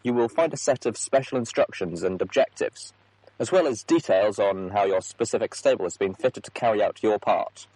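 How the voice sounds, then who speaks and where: A man voicing an earnest, rattled female pony executive in an audiobook, reading an official message in a halting manner. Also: medium pitch, darkish tone, no room sound, natural voice, poor audio. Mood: formal, weary. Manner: halting, official script slipping into frustrated asides. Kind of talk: audiobook character monologue.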